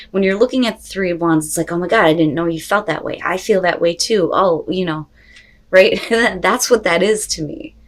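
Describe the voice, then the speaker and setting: Woman, lowish pitch, tarot reader, casual unscripted delivery, conversational, expressive, salty language. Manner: conversational and expressive, with frequent swearing and rhetorical questions. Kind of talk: casual, unscripted tarot reading addressed to viewers.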